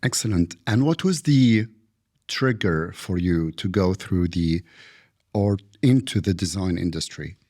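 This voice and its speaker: A man's voice: low voice, darkish tone. A man hosting a podcast interview, reading a formal introduction and asking questions. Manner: slow, deliberate delivery. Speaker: podcast host.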